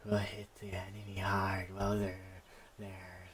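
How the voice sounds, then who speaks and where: Man doing a hushed, deep game fighter. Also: monotone, slight background noise. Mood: hushed, tense. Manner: hushed, halting read-aloud.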